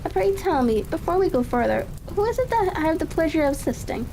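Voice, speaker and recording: Storybook gentleman detective, played by a woman reader: high pitch, polite, assured, cheery, faint ambient noise behind. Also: very animated, fairly quick, full character voice. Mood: sweetly courteous. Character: confident, courteous gentleman detective, gleefully all-knowing.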